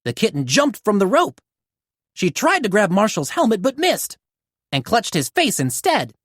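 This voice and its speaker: Very animated woman reading a children's story. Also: mid-range voice, moderate pace, no echo, clean studio sound. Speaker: storybook reader. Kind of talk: animated children's read-aloud narration.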